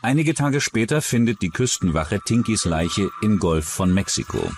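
A man's voice: lowish voice, very animated, moderate pace, slight background noise. A man reading scripted true-crime documentary narration.

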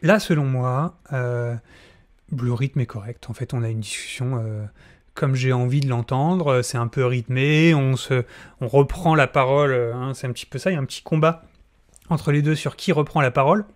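A man's voice: mid-range voice, normal speed. A middle-aged man hosting an informal spoken tutorial, close to a desk microphone in a small room. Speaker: audio fiction tutorial host. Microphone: close desk microphone, wearing headphones.

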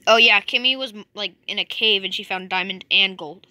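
A woman's voice: mid-range voice, normal speed, bright, forceful tone, dry recording. A young woman hosting a casual gaming chat at home, rambling and joking, recorded on a phone. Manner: jokey, rambling, self-correcting with "just kidding". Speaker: channel host. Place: home bedroom.

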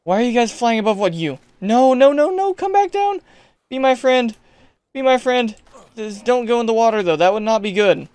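A young man giving casual, chatty gameplay commentary, excitable and yelling at creatures, a bit hoarse as he is losing his voice. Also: very high-pitched, normal speed, no room sound. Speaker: gaming YouTuber.

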